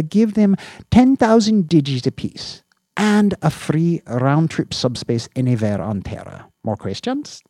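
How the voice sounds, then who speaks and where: Shrill, lively, fussy male bureaucrat, as a male narrator puts him on; dry close sound. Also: dry recording. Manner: high, shrill, wheedling and cooing. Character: fussy petty male official, Slope.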